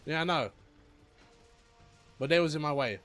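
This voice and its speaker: A man's voice: on the high side, normal speed, fairly bright tone, soft background music. A young man giving rambling, casual commentary while playing a video game, chatty and easily amused.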